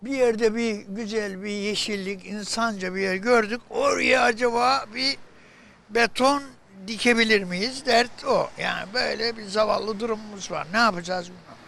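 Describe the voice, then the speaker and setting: A man with a high, bright, forceful voice that crackles with creak. He laments in a casual interview, hammering repeated words and rhetorical questions. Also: normal speed.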